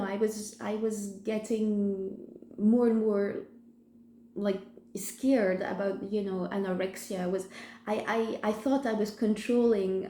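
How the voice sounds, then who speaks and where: Slow, croaky woman. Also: medium pitch, dark tone. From France. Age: 30s. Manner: hesitant, many fillers and restarts. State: croaky from a sore throat. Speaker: vlogger sharing her recovery story. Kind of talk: personal testimony, casual talk to camera. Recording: echoey room.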